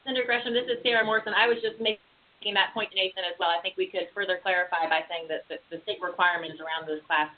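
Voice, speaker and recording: A woman's voice: mid-range voice, fairly even intonation, quick pace. A woman explaining points as a participant in a formal conference call meeting. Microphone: telephone. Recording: tinny conference phone line.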